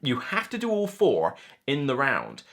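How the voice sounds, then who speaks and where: A man in his thirties giving a casual, unscripted board game review to camera, chatty and animated, acting out imagined reactions. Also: medium pitch, unhurried, gravelly. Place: indoor room lined with shelves of board games.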